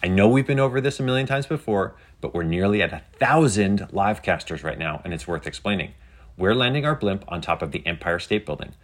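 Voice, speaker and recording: A man voicing an excitable, geeky schoolgirl who chats brightly to livestream viewers in a children's story. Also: deep voice, a little fast. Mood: eager explaining. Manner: bubbly, chatty, addressing viewers. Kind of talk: scripted children's audio story.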